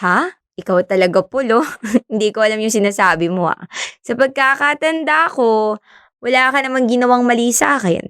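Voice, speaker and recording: A woman's voice: on the high side, normal speed, a bit bright, smooth, no echo, clean studio sound, natural voice. An actress with a Filipino accent playing a friendly, modest young woman who teases lightly in a conversational radio drama. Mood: teasing, reassuring. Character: friendly young woman, government fisheries speaker, modest and teasing.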